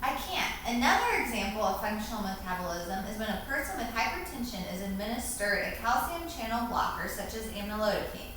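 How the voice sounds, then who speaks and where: A woman recites prepared answers like a student in class, formally and carefully. Her medium-pitched voice comes from some distance away in a strongly echoing room. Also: very animated, a little fast, few pauses, a bit bright. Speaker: student answering quiz questions. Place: reverberant indoor room, distant microphone. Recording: very echoey room, far mic, faint hiss.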